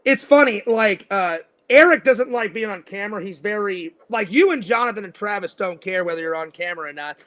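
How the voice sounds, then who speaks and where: A man's voice: high-pitched, brisk, few pauses, fairly bright tone. A man in his forties hosting a casual interview, rambling and restarting his sentences. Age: 40s. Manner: rambling, repeats words and restarts often. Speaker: host.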